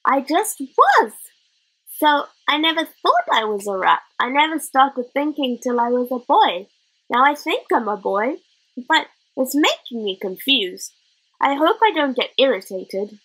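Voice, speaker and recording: A cheerful little boy who was once a rat, put on by a woman reader, chattering earnestly in rough working-class grammar. The voice is high and leaps about lively, with a husky edge. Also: measured pace, full character voice, low-quality recording. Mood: puzzled.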